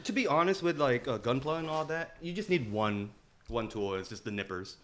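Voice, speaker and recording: A man's voice: medium pitch, animated, a little fast, fairly bright tone. A man co-hosting a podcast, chatting casually with friends in slangy, joking banter. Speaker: podcast co-host.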